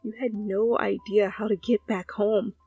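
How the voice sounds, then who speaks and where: A woman's voice: medium pitch, level tone, measured pace, creaky, husky, no room sound, highs cut off. A woman reading scripted second-person fiction as a narrator, close to the microphone. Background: soft background music.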